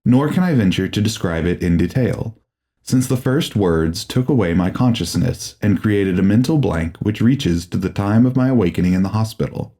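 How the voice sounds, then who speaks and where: A man reading a horror audiobook as a grave, formal, deliberate and dramatic narrator. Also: lowish voice, animated, mellow.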